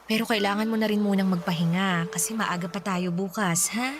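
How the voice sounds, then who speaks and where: A woman's voice: mid-range voice, fairly even intonation, a little fast, background noise, close to an everyday voice. An actress playing a caring, reassuring mother in a radio drama, speaking in a tender, motherly way. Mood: tender, soothing. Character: caring, reassuring widowed mother of two young boys. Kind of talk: Tagalog radio drama acting.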